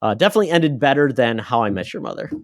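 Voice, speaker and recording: A man's voice: mid-range voice, very animated, very fast, no echo, studio quality. A man co-hosting a podcast, chatting casually and hesitating often with fillers. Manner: hesitant, lots of uhs. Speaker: podcast co-host. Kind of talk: casual chat.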